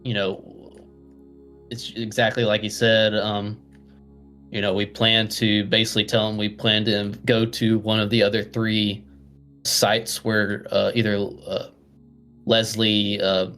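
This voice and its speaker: Halting man with a lowish voice. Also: a bit bright. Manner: halting, thinking aloud. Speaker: tabletop game player. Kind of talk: casual in-game discussion.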